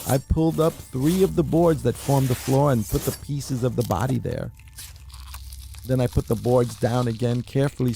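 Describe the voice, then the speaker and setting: Man's gravelly, mellow dramatic storytelling. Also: medium pitch, slight background noise. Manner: theatrical, deliberate, with emphatic repetitions. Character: mad, anxious murderer confessing his crime. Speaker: amateur narrator reading a horror short story. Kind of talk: dramatic first-person story reading, audiobook-like.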